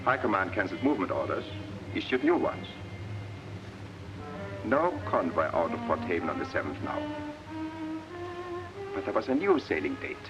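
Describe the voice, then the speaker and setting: Gloating nazi spy guy narrating, clipped n sneering, lively pitch, muffled 40s film sound w music. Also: moderate pace, pauses often. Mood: urgent. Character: gloating, contemptuous male Nazi intelligence agent narrating. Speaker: narrator of a military training film. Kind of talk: 1940s propaganda film narration.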